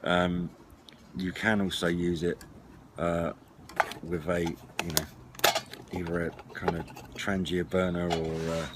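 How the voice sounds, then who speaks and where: A middle-aged man with a low voice talks informally through his kit, explaining as he goes and pausing to find words. He is recorded outdoors at a table, with clear background noise and clatter around the voice. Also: little pitch movement. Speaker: presenter of a CB radio and camping gear channel. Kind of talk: casual product walk-through to camera. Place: outdoors at a wooden garden table by a house.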